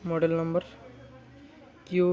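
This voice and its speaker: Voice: medium pitch, completely flat delivery, a little slow, dark tone, soft background music. A speaker informally showing repair work as a technician, often repeating themselves and adding little check-in tags. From India. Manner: repetitive, frequent check-in tags. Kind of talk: informal product showcase vlog. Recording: clear background noise.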